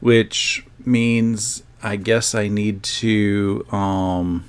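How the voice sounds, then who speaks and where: A man's voice: lowish voice, steady tone, very slow, clear, resonant tone. A man hosting a sewing livestream, chatting casually to his viewers in a rambling, self-joking way.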